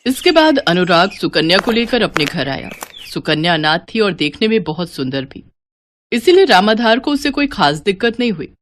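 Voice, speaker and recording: A woman narrates a scripted tale. Her voice sits at a middle pitch, and she tells events as a storyteller. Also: very animated, moderate pace.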